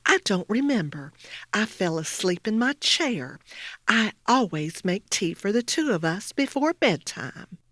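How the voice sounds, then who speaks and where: A woman's voice: medium pitch, sing-song, unhurried, fairly bright tone, no echo. A woman playing a worried, homely butcher's wife with a careful, hesitant manner, in a comic radio play. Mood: uneasy.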